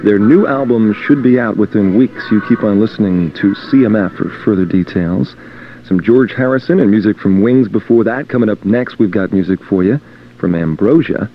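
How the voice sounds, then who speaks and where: A man's voice: on the low side, moderate pace. A man working as a radio DJ, announcing music and reading station promos. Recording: dull lo-fi radio aircheck tape.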